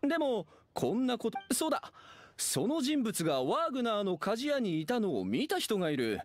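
A man's voice: high voice, wildly swooping pitch, moderate pace. A man playing a courteous, helpful young knight on guard in an anime game, with a polite, thoughtful manner.

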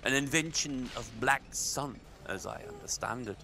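An actor playing a sadistic Imperial overseer in a sci-fi audio drama, imperious and theatrical, with slow deliberate emphasis. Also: male voice, medium pitch, background noise, close to an everyday voice. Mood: coldly menacing. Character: sadistic, menacing male Imperial overseer commanding a slave camp.

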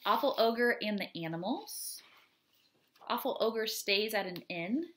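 A woman in her thirties reading children's poems aloud in an expressive, deliberate way, with chatty asides. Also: mid-range voice, slight background noise. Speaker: read-aloud host. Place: small home room, indoors.

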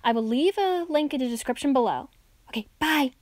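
Female host for casual online video narration, high and very expressive. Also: fairly quick, a little hiss or hum.

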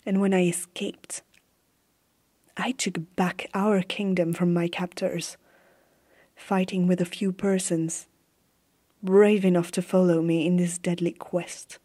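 Woman as fantasy warrior empress, ASMR roleplay, stern and zealous, mellow breathy voice, hushed dramatic delivery. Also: fairly low pitch, little pitch movement, takes it slowly, long pauses. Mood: grave, bitter.

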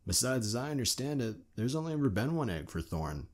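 A man's voice: mid-range voice, sing-song, brisk, distant mic, lightly acted. Guy playing a wry loyal dragon guard in an audio drama, swingy casual voice, echoey and off mic. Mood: amused, wry. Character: young male dragon bodyguard, loyal, frosty yet wry. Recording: roomy echo, off the mic.

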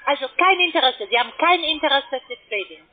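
High-voiced woman on a tinny phone line. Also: animated. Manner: hesitant, halting, persuading. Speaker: call centre trading salesperson. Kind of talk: unscripted sales phone call. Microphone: telephone.

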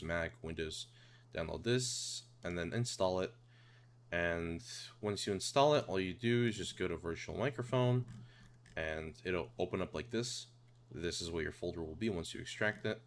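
A man narrating a casual software tutorial, informally explaining things step by step. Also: sits low, normal speed. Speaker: tutorial host. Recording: clear background noise and hum.

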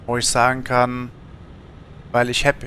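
A man's voice: mid-range voice, monotone, a little slow, bright, forceful tone, slight background noise. A man in his thirties from Germany, chatting casually on a gaming livestream in a rambling, colloquial way, thinking aloud. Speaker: streamer.

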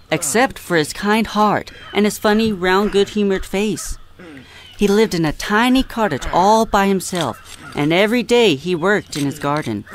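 Audio drama songbird, male actor: gentle, measured storyteller, faint background noise. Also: high voice. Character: gentle, wise little songbird telling a story.

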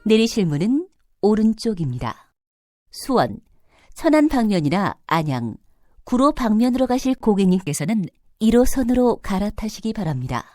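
A woman announcer reading scripted subway station announcements in a formal, clearly enunciated manner. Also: medium pitch, big pitch swings, normal speed, a little mellow, no echo, clean studio sound. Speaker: train announcer.

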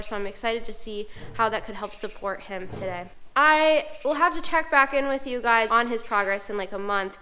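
A woman's voice: mid-range voice, sharp tone, smooth. A young woman vlogging casually to a handheld camera, a little congested from a cold, chatty and upbeat with frequent ums, first in a car and then in a horse barn. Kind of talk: casual vlog chat to camera. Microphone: camera held at arm's length.

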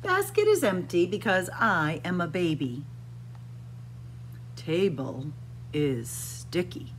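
A middle-aged woman reading a picture book aloud to young children in a deliberate, expressive, sing-song way, recorded indoors. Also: on the low side, a little hiss or hum. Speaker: children's library storyteller. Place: indoors in a library room with bookshelves.

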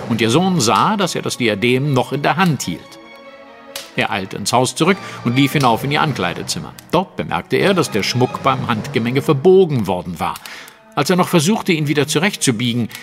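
An actor playing a confident, analytical detective in a German audio drama, setting out a case in articulate, precise, dramatic storytelling. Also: male voice, mid-range voice, big pitch swings, moderate pace, vocal fry, a bit bright, faint music behind. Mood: absorbed, dramatic. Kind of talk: German audio drama acting, narrative monologue.

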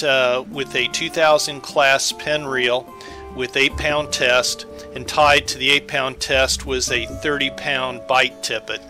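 A man's voice: on the high side, pushed and bright. A man giving scripted voice-over narration as an angler recounting his fishing trip.

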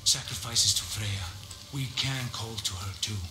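A man voicing a grave mystical guide in a video game, speaking in a solemn, measured, portentous way. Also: on the low side, lightly acted. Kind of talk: dramatic video game voice acting. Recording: echoey, music underneath. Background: music.

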